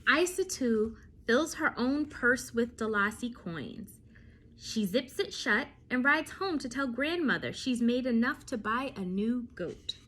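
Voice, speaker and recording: A woman reading a picture book aloud to young children, telling it expressively with deliberate phrasing and dramatic pauses. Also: fairly high pitch, expressive, fairly bright tone.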